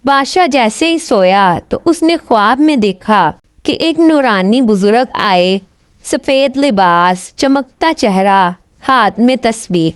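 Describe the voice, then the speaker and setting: A woman's voice: a little high, expressive, slow, no echo, clean studio sound. A woman storyteller reading a scripted children's tale as narrator.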